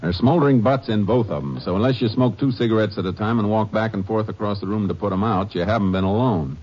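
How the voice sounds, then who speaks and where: An actor playing a steady Texas Ranger in a 1950s radio drama, calm, firm and matter-of-fact, also narrating. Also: male voice, fairly low pitch, fast talker, close to an everyday voice. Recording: muffled vintage radio with faint hiss.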